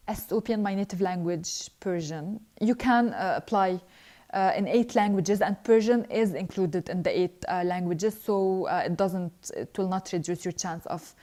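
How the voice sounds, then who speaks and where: A young woman, a scholarship alumna, reading out viewer questions and answering them earnestly in a live Q&A, with frequent hesitant pauses. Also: medium pitch, dark tone.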